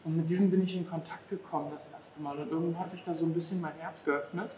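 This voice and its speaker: Man in his 30s from afghanistan, animated interview guest, roomy echoey hall. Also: fairly high pitch, quick pace. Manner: lively, animated, acts out dog owners' exclamations. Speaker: dog behavior therapist, guest. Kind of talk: casual interview talk. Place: large empty restaurant hall, indoors.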